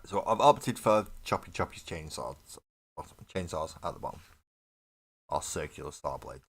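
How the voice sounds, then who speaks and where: A man's voice: rumbling low, animated, moderate pace, vocal fry, husky. A man hosting a model painting livestream, chatting casually with viewers as he builds, with a morning cough and frequent laughs. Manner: chatty, laughs often, talks to viewers in chat. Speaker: mini painting livestream host.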